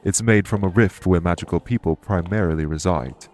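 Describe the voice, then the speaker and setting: A man playing a courteous former king turned fae official in an audio roleplay, speaking formally and with measured reassurance. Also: sits low, over music, close to an everyday voice. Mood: reserved, matter-of-fact. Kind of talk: audio roleplay spoken to the listener.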